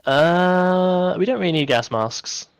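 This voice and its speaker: A man's voice: sits high, animated, slow pace, smooth, dry recording. A man playing a game cooperatively with a friend, laid-back and dryly joking. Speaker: co-op player. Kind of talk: casual co-op gameplay chat.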